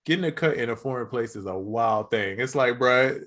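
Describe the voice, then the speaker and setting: A hoarse man co-hosting a podcast, chatting casually with easygoing slang. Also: mid-range voice, brisk, a bit bright. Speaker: podcast co-host. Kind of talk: casual chat.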